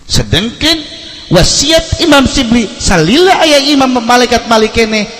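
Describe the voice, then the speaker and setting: A man's voice: extremely high pitch, animated, a little slow, a bit bright. Reverberant male preacher telling a sermon story. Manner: theatrical, switching between narration and heightened quoted speech. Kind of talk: storytelling sermon to a congregation, acting out dialogue.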